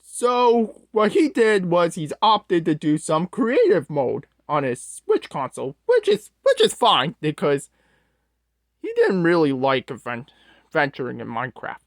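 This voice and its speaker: An awkward, easily flustered storyteller character acted out by a performer reads a scary tale from a script in a halting way. The pitch moves around a lot, and the sound is close and dry. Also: huge pitch swings, no echo. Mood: narrating. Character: Strong-Arm, awkward, scatterbrained storyteller host character. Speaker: storyteller host. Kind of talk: reading a scripted creepypasta story aloud.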